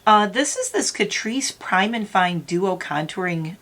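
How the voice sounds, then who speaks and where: A middle-aged woman with a slightly raspy morning voice, chatting and rambling to her viewers while doing her makeup. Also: medium pitch, animated, measured pace, a bit bright. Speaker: beauty vlogger. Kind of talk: casual makeup tutorial chat.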